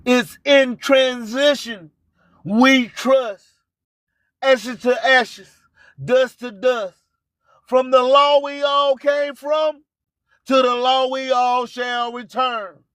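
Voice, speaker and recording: High-pitched man, slow declamatory radio host. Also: fairly even intonation, fairly bright tone, dry recording. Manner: repetitive, rhyming catchphrases, declamatory. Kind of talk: live show hype and preaching monologue.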